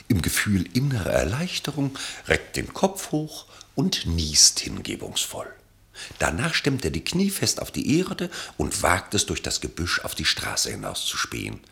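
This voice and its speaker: A male storyteller in a radio drama. His voice is low and bright, and it swings widely as he paints scenes in ornate, wry literary prose. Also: vocal fry, exaggerated voice. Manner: literary, vividly descriptive, wry. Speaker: narrator. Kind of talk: scripted radio play narration.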